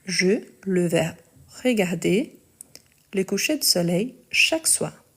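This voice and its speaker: A woman teaching French in a live lesson, dictating each sentence slowly and patiently explaining the conjugation rules. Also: lowish voice, lively intonation, breathy edge. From Portugal. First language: Portuguese.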